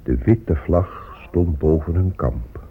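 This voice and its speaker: An actor playing a proud, grave Inca emperor and chronicler in a radio drama, speaking in a stately manner. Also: male voice, a bass voice, slow pace, slightly rough, heavily acted. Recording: muffled phone-like old radio play.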